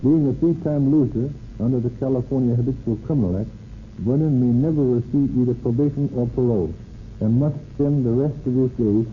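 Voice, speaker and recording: A man's voice: mid-range voice, a little fast. A man reading formal scripted narration in the style of a 1930s radio program. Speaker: narrator, police captain. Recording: tinny, hissy old radio recording.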